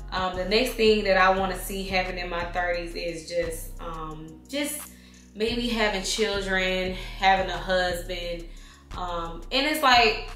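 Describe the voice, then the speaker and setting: A woman in her thirties talks straight to her viewers in a relaxed, slangy way, calling them honey and baby. Her voice is bright and forward. The room echoes around her, with faint music underneath. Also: medium pitch. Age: 30s. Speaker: vlogger answering viewer questions. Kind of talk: casual Q&A vlog.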